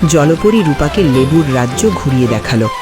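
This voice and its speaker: Male narrator for animated fairy tale; lively intonation, slightly high pitch, clear music bed. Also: moderate pace.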